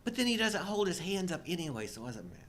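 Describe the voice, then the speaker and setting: A middle-aged man chats casually as a show co-host, his animated voice rising and falling widely. He gives joking movie commentary over faint rumbly background noise. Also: fast talker. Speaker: co-host of a live chat show.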